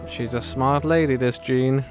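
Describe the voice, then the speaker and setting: A woman hosts a gaming video with an extremely low-pitched voice. Her commentary is casual, flippant and joking, with some swearing. Faint game music sits behind her. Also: steady tone, a little slow.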